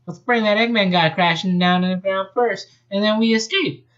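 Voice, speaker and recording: Man voicing a young woman fighter, eager and high-pitched, muffled recording. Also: moderate pace, fairly bright tone. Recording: dull lo-fi home recording.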